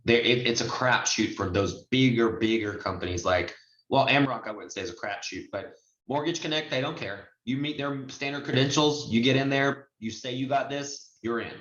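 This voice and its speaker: A man's voice: medium pitch, normal speed, a bit bright. Man teaching online, muffled roomy sound. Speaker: notary trainer and host. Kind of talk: informal online training explanation. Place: indoors, online video call with screen share. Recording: muffled, roomy video-call audio.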